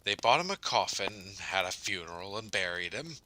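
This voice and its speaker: A man's voice: low voice, expressive, fairly quick, sharp tone, exaggerated voice, rough recording. A man playing a brooding, menacing, jealous rival suitor in an audio drama. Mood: deadpan storytelling. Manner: menacing, intense.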